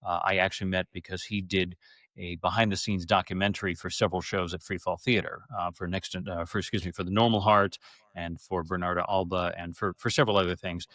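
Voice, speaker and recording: A middle-aged man speaks as a guest in a casual interview. His voice sits a little low, with very little room sound around it. He tells stories in an animated, rambling, conversational way. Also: fairly quick, no echo, clean studio sound. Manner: animated, rambling, acts out quoted speech.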